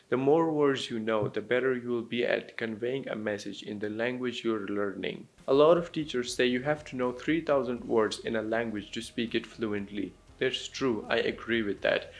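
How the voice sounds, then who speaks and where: Man, Pakistani accent with some American influence, gravelly creak, mid pitch, encouraging instructional talk. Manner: encouraging, simple step-by-step explaining. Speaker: language teacher and YouTuber.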